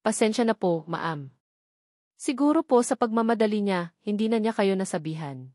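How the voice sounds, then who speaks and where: A patient, courteous gardener in his late thirties, acted out by a woman narrator for a romance audiobook. He explains himself calmly and respectfully. The sound is dry, with hardly any room. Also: mid-range voice, moderate pace, no echo, lightly acted. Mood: calm, polite. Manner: calm, respectful, soft-spoken explaining.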